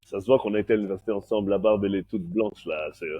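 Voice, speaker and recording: Un homme d'une quarantaine d'années, né en Belgique et élevé au Congo, invité d'une interview, qui mêle le français et l'anglais en parlant. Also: male voice, on the low side, breathy edge, no echo. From born in Belgium, raised in Congo. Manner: switches between French and English mid-sentence. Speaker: guest, master of ceremonies. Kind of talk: casual interview chat.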